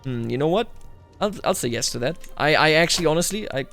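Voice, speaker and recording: Theatrical gaming man, slightly high voice. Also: expressive, fast, a bit bright, faint music behind. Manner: talks to game characters and himself, theatrical declarations. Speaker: gaming YouTuber. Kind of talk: roleplay strategy game commentary.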